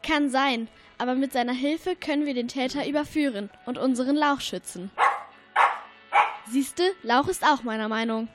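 A woman's voice: sits high, normal speed, over music, natural voice. A female performer playing a sensible, concerned girl detective in a German radio play. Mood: decided.

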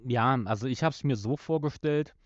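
A man's voice: mid-range voice, normal speed, no echo, dull audio. A man co-hosting a podcast, casually talking through the details of an audio drama. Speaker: podcast co-host.

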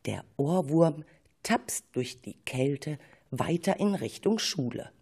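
A woman's voice: sits low, big pitch swings, takes it slowly, a little mellow, slightly rough. A woman narrating a children's story expressively, with playful asides.

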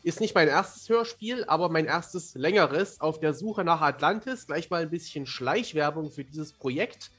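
A man's voice: a little high, animated, normal speed, hardly any pauses, a bit bright, slightly rough. A young man speaking as a podcast guest, telling things casually in a wordy, articulate and playful way. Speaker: podcast guest, fantasy author. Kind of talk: casual, talkative storytelling.

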